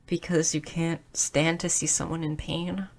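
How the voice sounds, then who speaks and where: A woman's voice: deep voice, flat delivery, measured pace, a little mellow. A woman playing a shy, clumsy, sweet young goblin girl who stammers earnestly in an intimate romantic audio roleplay. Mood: flustered. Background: music.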